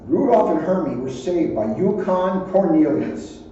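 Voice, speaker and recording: A man's voice: sits high, lively intonation, a little slow, mellow. A man reading a children's storybook aloud, adding his own offhand asides. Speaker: police officer reading aloud. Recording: muffled, very echoey, distant, faint noise.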